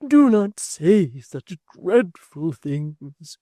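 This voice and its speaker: A timid, tearful spinster, as a woman voices her, speaking slowly in a soft, faltering, pleading way. The voice is mellow and dry, with a fairly lively lilt. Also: fairly low pitch, no echo, voice pushed up. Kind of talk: audiobook character voice.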